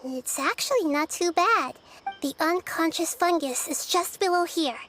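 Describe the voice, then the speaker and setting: A woman voicing a gentle, wise little goddess girl in a video game, childlike yet calm and considered. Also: very high voice, measured pace, slight background noise. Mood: calm, curious. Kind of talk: video game character acting. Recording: music behind.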